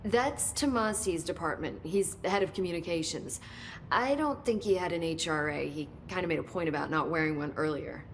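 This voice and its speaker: A woman's voice: on the low side, normal speed, a little hiss or hum, natural voice. An actress voicing an eager woman researcher in a game who explains things in a chatty, nerdy way. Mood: helpful. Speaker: research scientist guiding the hero.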